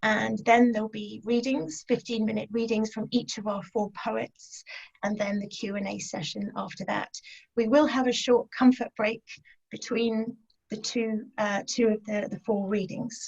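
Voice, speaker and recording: A woman's voice: on the high side, level tone, normal speed, vocal fry, darkish tone, lo-fi sound. A woman hosting a literary session, introducing the speakers in an organized way over a video call. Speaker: festival session host.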